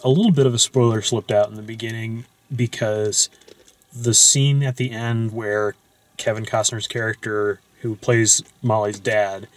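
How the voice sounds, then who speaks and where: Low-voiced man rambling about a movie. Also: level tone, a little slow, rough recording. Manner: loose and rambling, with frequent fillers and restarts. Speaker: movie discussion host. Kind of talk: casual film discussion. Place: parked car at night.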